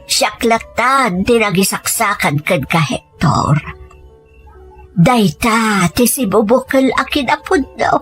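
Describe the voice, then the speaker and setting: A woman's voice: a little high, lively intonation, slow pace, fairly bright tone. Woman acting an elderly, caring Ilocano grandmother, music behind. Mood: sorrowful. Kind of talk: audio drama storytelling.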